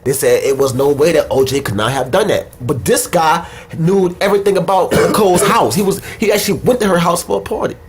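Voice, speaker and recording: A young man with a fairly high voice hosts a loose, uncensored chat. He is blunt and opinionated, curses often, and sounds a bit stuffed up from a head cold. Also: a little fast, few pauses, soft background music. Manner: blunt, opinionated, swears freely. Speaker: podcast host.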